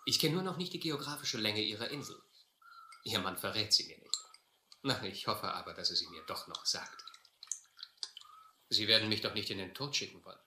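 A man acting a shrewd, adventurous seafarer in a radio drama, deliberate and controlled with a touch of irony. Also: fairly low pitch, animated, pushed and bright. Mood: polite, probing. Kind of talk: radio drama acting, first-person narration and dialogue. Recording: roomy, slightly noisy old radio-play recording.

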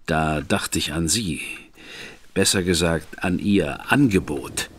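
An actor playing a reserved, earnest gentleman who speaks in a measured, formal way. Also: low voice, breathy edge, exaggerated voice. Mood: grave, earnest. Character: grave, guarded detective's brother, reserved gentleman. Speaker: Mycroft Holmes. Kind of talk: radio play acting.

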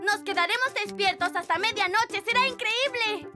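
A woman's voice: very high-pitched, normal speed, over loud music. A woman voicing a small, eager boy kitten in a Latin American Spanish children's cartoon dub. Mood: excited.

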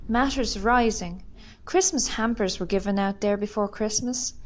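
A woman playing a brisk, businesslike Irish village committee chairperson in an amateur radio drama. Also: medium pitch, close to an everyday voice. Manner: brisk, matter-of-fact. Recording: noticeable background noise.

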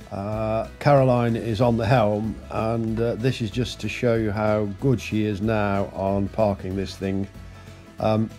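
A man casually narrating his boat's arrival at a marina, relaxed and full of ums and uhs. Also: fairly low pitch. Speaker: sailing vlogger. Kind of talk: casual narration over footage.

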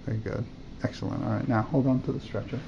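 A man's voice: mid-range voice, fairly even intonation, quick pace, gravelly, warm tone. An older man working as a doctor, giving short exam instructions and brief encouragement to a patient in a hospital room. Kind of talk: clinical exam instructions, short commands and praise. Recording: dull, lightly noisy room recording.

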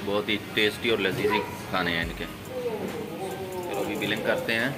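A man vlogging casually from a phone held at arm's length in a restaurant, lively and chatty, slipping Punjabi words into his talk. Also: lowish voice, moderate pace, over loud music. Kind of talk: casual vlog chat. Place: restaurant dining room, indoors.

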